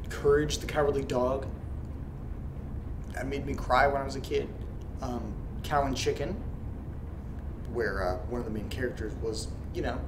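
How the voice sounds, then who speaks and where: A man's voice: mid-range voice, background noise, natural voice. A man playing a wry amateur podcaster in a horror audio drama, talking casually in sarcastic, muttered, informal asides. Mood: wry, reminiscing. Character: wry, rambling amateur podcaster reading strange letters aloud.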